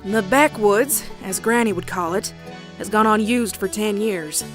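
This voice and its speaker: A woman's voice: mid-range voice, unhurried, natural voice. Woman doing a young southern farm pony gal, plucky and folksy, horror cartoon dub, music behind. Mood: somber, uneasy. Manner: folksy drawl. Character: young country farm mare, plucky, teasing, later uneasy narrator. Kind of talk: dramatic comic dub with narration.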